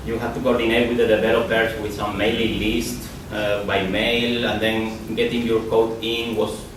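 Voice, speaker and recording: Spanish accent guy giving a talk, kinda low voice, super echoey far-off hall sound. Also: little pitch movement, normal speed, background noise. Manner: casual, repeats and restarts words, rambling asides. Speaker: conference speaker. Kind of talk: conference talk. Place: lecture hall.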